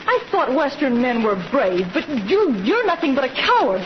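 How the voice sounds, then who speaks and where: High, expressive young schoolmarm. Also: female voice, moderate pace. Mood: indignant. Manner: proper, emotional, expressive. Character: earnest, spirited young schoolmarm from back East. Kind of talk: old-time radio drama acting. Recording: noisy, muffled old radio recording.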